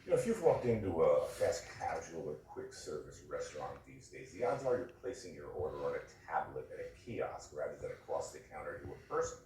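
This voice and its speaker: A man's voice: a bass voice, very animated, brisk, few pauses, dark tone, faint music behind. A man delivering conversational scripted commentary in a folksy, plain-spoken manner. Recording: very echoey, distant, noisy capture.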